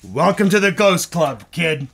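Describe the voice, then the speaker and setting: Radio drama station boss, male, actor-played: world-weary yet avuncular, fairly high sharp voice, halting wry delivery. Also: big pitch swings, vocal fry, breathy edge, exaggerated voice. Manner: wry, hesitant pauses, calls people kid. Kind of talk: old-time radio drama acting, live on stage.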